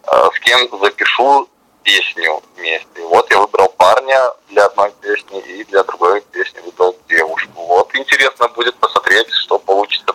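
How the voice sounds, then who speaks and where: Male musician guest for a radio chat. Bright tone, on the low side, relaxed wording, hesitant, thinks aloud. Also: rough recording. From Belarus. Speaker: band musician and songwriter, interview guest. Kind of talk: casual radio interview chat. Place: radio studio.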